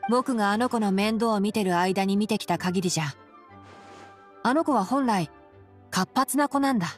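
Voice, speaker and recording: A woman voicing a clever young male scholar in an anime game, measured and gently dry. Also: mid-range voice, faint music behind, lightly acted. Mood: calm, thoughtful. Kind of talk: Japanese anime game voice acting.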